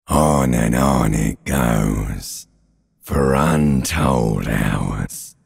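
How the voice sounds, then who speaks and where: An actor playing an ancient reptilian brain in a video game, a sinister, mocking inner voice with a slow, theatrical, rasping drawl. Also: male voice, heavily acted. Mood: dreamy, ominous. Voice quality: very deep, gravelly, rasping growl. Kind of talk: dramatic video game character monologue. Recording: long cavernous reverb.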